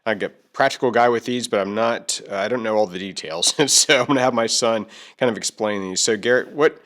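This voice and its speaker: A man's voice: on the low side, fairly quick, a bit bright. A middle-aged man giving a casual product overview as a video host, plain-spoken and folksy. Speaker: video host reviewing gear.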